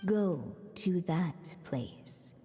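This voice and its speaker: Mellow, echoing fairy-tale storyteller. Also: female voice, fairly low pitch, expressive, a faint crowd. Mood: serene, cryptic. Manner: measured, lyrical, enigmatic. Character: mysterious adult female storyteller, serene and wise. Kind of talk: fairy-tale narration in a video game. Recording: long reverberant hall, music behind.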